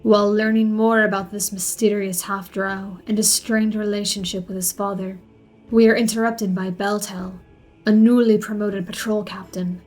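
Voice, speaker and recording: A woman voicing a sulky, wry male drow elf who narrates a fantasy audio story in a gravelly voice. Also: medium pitch, level tone, moderate pace, a little mellow, faint music behind, lightly acted. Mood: wry, measured.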